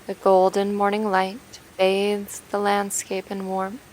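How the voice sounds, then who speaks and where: A woman leads a guided meditation at a slow pace with gentle, soothing delivery. Her pitch stays very even from phrase to phrase. A soft, steady hiss sits behind the voice. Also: poor audio. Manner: soothing, gentle, unhurried with long pauses.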